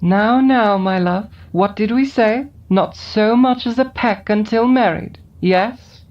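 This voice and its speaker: A woman voicing a composed, scheming adult woman in an audio drama, calm and coolly persuasive. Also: mid-range voice, slow pace, natural voice. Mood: measured. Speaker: voice actor. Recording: dull lo-fi recording with faint music behind.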